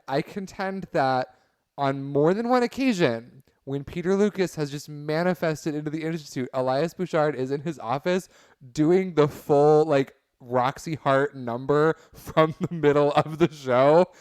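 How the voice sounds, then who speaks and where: A man's voice: on the high side, clean tone, dry recording, studio quality. A man chatting casually and comedically on a podcast, arguing his points with theatrical mock-earnestness. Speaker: podcast panelist.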